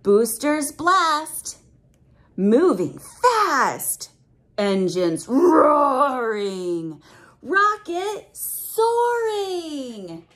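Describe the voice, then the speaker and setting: A woman reading a picture book aloud to children, expressive and exclamatory, pausing to explain things simply and put questions to young listeners. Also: sits high. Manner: expressive, exclamatory, with audible gasps of wonder.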